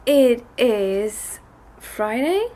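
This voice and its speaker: A woman's voice: very animated, very slow, mellow. A woman in her thirties with a bad cold, chatting casually and a bit tiredly to the camera from her living room at home. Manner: rambling, tired, low-energy talk with restarts. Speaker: daily vlogger. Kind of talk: casual vlog chat. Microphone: camera on a desk in front of her.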